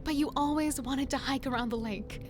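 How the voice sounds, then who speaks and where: Casting: woman playing a lost, insistent middle sister in horror drama, high voice, urgent and pleading. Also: a little fast, music in the background, close to an everyday voice. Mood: earnest, pleading. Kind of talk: audio horror drama acting with narration.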